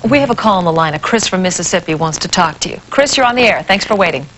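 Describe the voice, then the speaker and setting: A woman's voice: fairly low pitch, animated, quick pace, fairly bright tone, poor audio. Woman hosting a call-in show, faint hiss. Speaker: radio call-in show host. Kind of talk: conversational interviewing, taking calls. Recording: faint hiss, old broadcast tape.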